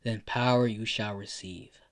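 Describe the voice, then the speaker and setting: A man voicing a calm, solemn, aging godlike being who speaks slowly and formally in a hushed voice. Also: fairly low pitch, close to an everyday voice.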